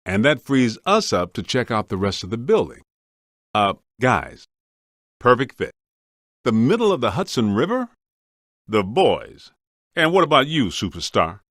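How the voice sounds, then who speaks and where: An actor playing a streetwise, wisecracking, grumbling ghost hunter in a scripted video game. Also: male voice, mid-range voice, sing-song, unhurried, long pauses, a bit bright, dry recording, clean studio sound. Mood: wry, questioning. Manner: wry, streetwise, complaining.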